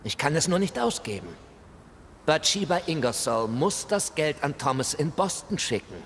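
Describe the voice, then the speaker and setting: An actor playing the embittered, polite ghost of a colonial shop clerk, with formal, theatrical delivery in a video game. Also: male voice, on the high side, expressive, moderate pace. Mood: earnest, bitter. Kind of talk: video game dialogue acting. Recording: hall reverb, noisy ambience.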